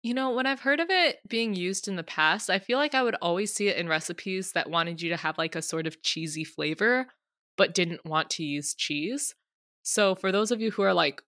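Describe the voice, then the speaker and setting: A woman's voice: on the low side, expressive, moderate pace, fairly bright tone, no echo, studio quality. A young woman co-hosting a casual podcast chat, talking straight to listeners, her voice a bit stuffy from a cold. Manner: chatty, addresses listeners directly. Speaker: podcast co-host.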